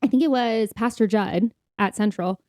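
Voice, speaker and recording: Woman, podcast co-host, mellow relaxed tone, informal, earnest, explanatory, conversational, dry recording. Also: a little high, moderate pace, no echo. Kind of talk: casual faith-focused conversation.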